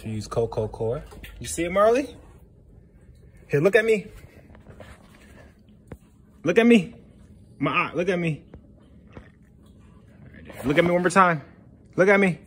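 A man talks casually to viewers and family as he films a home vlog on a handheld phone. His manner is playful and encouraging. The recording carries clear background noise. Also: medium pitch. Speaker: vlog host. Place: at home, indoors and out in the yard.

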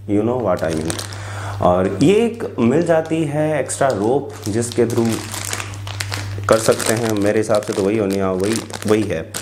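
एक युवा आदमी अपने घर के छोटे कमरे में जिम का सामान अनबॉक्स कर रहा है, आराम से बातें करता है, बीच-बीच में "अ" बोलता है और अंग्रेज़ी शब्द मिलाता है।. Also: male voice, mid-range voice, dark tone. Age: young adult. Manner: chatty, many filler sounds, English words mixed into Hindi. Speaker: tech YouTuber unboxing gym gear. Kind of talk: casual unboxing vlog. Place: small indoor room with a tiled wall.

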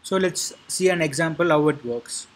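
A man narrating an informal software tutorial in a halting, repetitive way, with a husky voice. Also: fairly high pitch, rough recording. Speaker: tutorial presenter.

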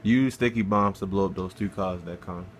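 A man's voice: low-pitched, normal speed, slight background noise. A man casually talking through a game walkthrough, laid-back and swearing freely as he plays. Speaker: gameplay guide narrator.

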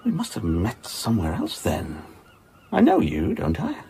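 Brusque, animated British police inspector. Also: male voice, lowish voice, creaky, a little hiss or hum, heavily acted. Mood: polite, sceptical. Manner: brisk, patronising, sceptical. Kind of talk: British radio drama acting.

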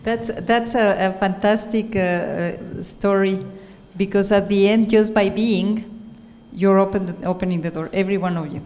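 A woman's voice: medium pitch, steady tone, normal speed, a little mellow. A woman moderating a stage panel, her voice carrying with a long hall reverb. She speaks enthusiastically but hesitates often, stringing her questions together through many fillers. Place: theater stage at a panel event. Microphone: handheld mic.

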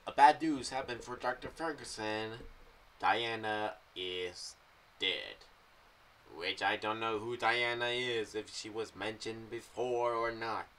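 Low-voiced young man, halting commentary. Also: pushed and bright. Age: young adult. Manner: halting, meandering phrasing. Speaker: video creator sharing fan theories. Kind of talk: unscripted rambling commentary. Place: small room at home. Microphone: boom-arm microphone with pop filter, headphones. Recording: clearly noisy home recording.

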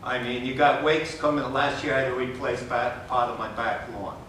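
An older man with a New England accent rambles bluntly through a complaint, stopping often for fillers and waving his arms. He speaks from a distance in a very echoey council chamber over a faint low rumble. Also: steady tone, moderate pace, fairly bright tone. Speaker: resident giving public comment. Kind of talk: unscripted public complaint. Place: city commission chamber. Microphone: podium gooseneck mic.

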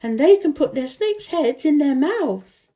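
An excitable, earnest young girl from a storybook, acted out by a woman. Her voice sits high and has a soft edge, and she speaks with eager emphasis. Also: a little slow.

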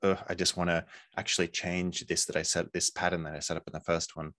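A man in his forties from Poland, talking over a video call in a hesitant, thoughtful way with many pauses. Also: deep voice, level tone, fairly bright tone, no echo, studio quality. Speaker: artist. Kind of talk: casual interview. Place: home room, video call.

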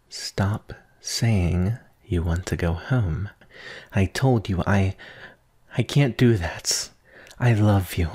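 A man playing an obsessive, possessive, lovesick kidnapper in an ASMR roleplay, breathy and often near a whisper, speaking intensely and intimately. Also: mid-range voice, darkish tone. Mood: desperate, pleading. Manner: slow, intense, intimate, addressing a captive darling.

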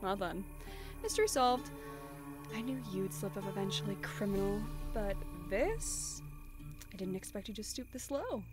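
A woman's voice: mid-range voice, expressive, moderate pace, lightly acted. A woman playing a stern, analytical investigator in a fantasy audio drama, clipped and sardonic. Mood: accusing. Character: stern, accusing female investigator in a fantasy world. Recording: music playing behind. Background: background music.

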